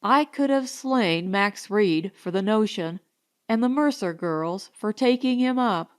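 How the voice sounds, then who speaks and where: A woman's voice: medium pitch, lively intonation, unhurried, smooth. A woman reading first-person narration from a comic novel as an audiobook narrator.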